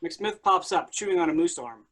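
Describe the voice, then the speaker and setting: A woman's voice: on the low side, animated, moderate pace, a bit bright. A woman giving scripted narration, reading out stage directions for a play.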